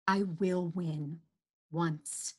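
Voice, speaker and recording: A woman playing a boastful, menacing virus in a theatrical, drawn-out stage monologue. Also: on the low side, steady tone, slightly rough, heavily acted. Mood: defiant. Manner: theatrical, drawn-out, gloating, swinging from outbursts to hushed menace. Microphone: computer microphone, close webcam framing.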